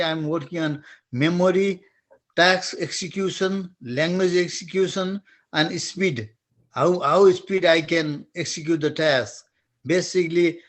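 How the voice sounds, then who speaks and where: This middle-aged man from Nepal talks in a slow, halting way, leaving gaps as he searches for the right word. His voice sits fairly high for a man, and his Nepali accent is strong. He keeps restarting his phrases as he asks a question on a webinar call. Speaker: webinar attendee asking a question.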